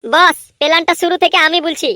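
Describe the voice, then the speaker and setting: A high, piercing cartoon voice of a cheeky young lad, put on by a woman. He rattles along quickly, brash and boastful, always ready to stir up trouble. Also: fairly even intonation, sharp tone, dry recording, studio quality. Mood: eager tattling. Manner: brash, cocky. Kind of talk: cartoon voice acting.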